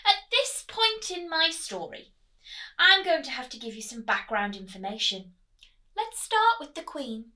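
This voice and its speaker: A woman's voice: sits high, big pitch swings, measured pace, pushed and bright, full character voice. A woman voicing a small, self-important pea that earnestly tells its life story in a children's storybook. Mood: eager. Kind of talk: children's storybook read-aloud.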